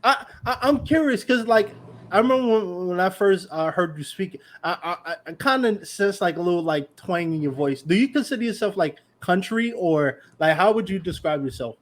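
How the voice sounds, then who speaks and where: A man's voice: high voice, animated, moderate pace. A man from New York hosting an interview, asking curious, rambling questions full of restarts and fillers. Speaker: podcast host. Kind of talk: casual interview conversation.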